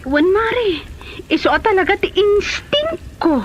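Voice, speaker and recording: A woman's voice: very high voice, very animated, measured pace, slight background noise, heavily acted. A woman playing a suspicious, aggrieved wife in an Ilocano comedy drama. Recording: muffled lo-fi audio under clear music.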